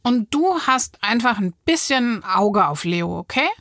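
A woman's voice: a little high, sing-song, measured pace, fairly bright tone, no echo, clean studio sound. A woman hosting a children's audio play interview in a sympathetic, encouraging way, asking questions. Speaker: host.

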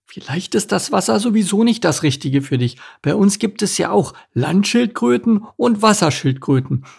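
Storybook tortoise, female, timid and caring, performed by a man; raised, slightly husky voice, earnest worry. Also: high-pitched, lively intonation, normal speed, voice pushed up, full character voice. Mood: sympathetic. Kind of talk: storybook character voice.

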